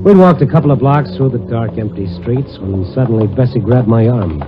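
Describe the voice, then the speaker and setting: A man's voice: medium pitch, fairly quick, background noise, lightly acted. An actor with an American accent playing a wry, tough investigator who narrates his case in an old-time radio drama. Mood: wry, brooding. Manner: wry, hardboiled delivery. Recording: dull vintage radio recording with music.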